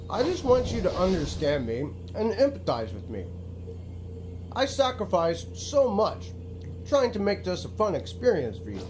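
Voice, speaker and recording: A man's voice: sits high, rough recording. A male actor playing a self-pitying, pushy game developer who narrates his own video game in a theatrical, pleading way. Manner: pleading, self-justifying, dramatic.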